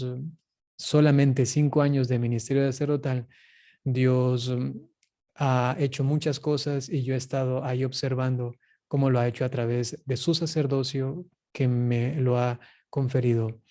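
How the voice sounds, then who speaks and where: A man with an Ecuadorian accent, a priest speaking as an interview guest in an earnest, measured, reflective way. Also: mid-range voice, mellow.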